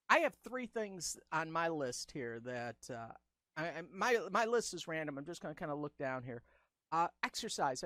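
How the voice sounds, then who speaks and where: A middle-aged man hosting a casual video-call chat, with a high-pitched, squeaky voice and a chatty, enthusiastic way of going down his list. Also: fairly bright tone, no room sound. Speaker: host.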